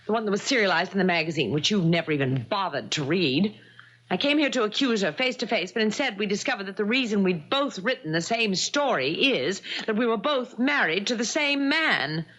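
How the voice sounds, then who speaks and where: An actress with an American accent playing an indignant, sardonic wronged wife in a 1970s radio drama, speaking in a pointed, theatrical way. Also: female voice, mid-range voice, animated, a little fast, fairly bright tone, lo-fi sound. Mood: bitter, indignant.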